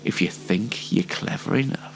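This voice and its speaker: A man's voice: moderate pace, very noisy. A man hosting an online variety show in a chatty, playfully theatrical, mock-dramatic way. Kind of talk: chatty scripted-style presenting with ad-libs.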